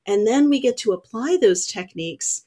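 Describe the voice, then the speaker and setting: A woman's voice: on the high side, lively intonation, normal speed, dark tone. A woman in her thirties chatting casually on a video call as a knitting show host, thoughtful and often rephrasing as she goes. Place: small indoor room at home. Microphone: computer mic on a video call.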